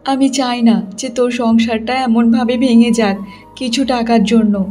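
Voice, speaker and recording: A woman's voice: on the high side, steady tone, unhurried, mellow, faint music behind, lightly acted. A woman playing a middle-aged mother in a cartoon moral story, speaking in an emphatic, dramatic manner. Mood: soothing, worried. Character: older mothers: scheming mother-in-law, anxious loving mother. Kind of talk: Bengali cartoon story acting.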